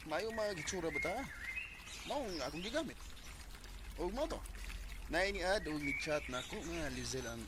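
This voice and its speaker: A man's voice: fairly high pitch, animated, moderate pace, long pauses, heavy background noise, lightly acted. A man acting an affectionate, playful older brother in a radio drama. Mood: sheepish.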